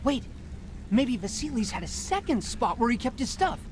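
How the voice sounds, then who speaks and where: An eager young Spartan brother, animated. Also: male voice, very high voice, normal speed, noisy background. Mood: thoughtful. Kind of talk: video game voice acting.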